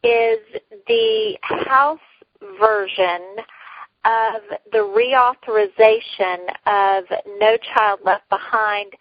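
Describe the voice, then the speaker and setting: A woman's voice: medium pitch, no echo. Woman host on a muffled, dry phone line. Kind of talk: conversational explaining on a conference call. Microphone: telephone. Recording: dull lo-fi phone conference line.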